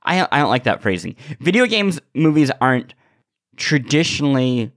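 A man's voice: medium pitch, very animated, moderate pace, fairly bright tone, no echo, studio quality. A man hosting a casual podcast discussion, thinking aloud hesitantly and correcting himself as he goes. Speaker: podcast host.

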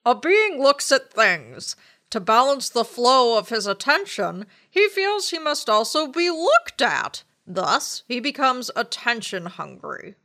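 A woman podcast host reading a book aloud dramatically, in a deliberate, declamatory way. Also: a little high, sing-song, bright, forceful tone.

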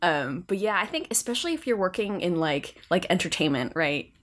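Woman guest from Connecticut, born in Korea, lowish voice with lively pitch, laid-back talk. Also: brisk. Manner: laid-back, frequent laughs and fillers. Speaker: podcast guest, illustrator. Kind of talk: casual interview chat.

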